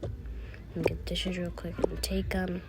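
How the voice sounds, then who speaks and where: A woman's voice: lowish voice, little pitch movement, takes it slowly. A woman casually improvising narration for a toy-app roleplay video, thinking aloud through each small action. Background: music.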